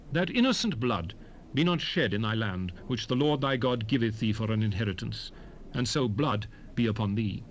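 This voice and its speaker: An actor playing a stern, commanding lawgiver in a dramatized scripture reading, declamatory and emphatic with measured phrasing. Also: male voice, medium pitch, close to an everyday voice. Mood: solemn. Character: stern, commanding male lawgiver addressing his people. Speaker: scripture narrator in a dramatized Bible recording. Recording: 1970s cassette rip, faint background noise.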